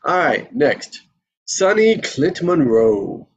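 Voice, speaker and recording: A man's voice: on the high side, big pitch swings, takes it slowly, muffled recording. A man hosting an online table read in a casual, chatty style, speaking over a video call. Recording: video call with clear background noise.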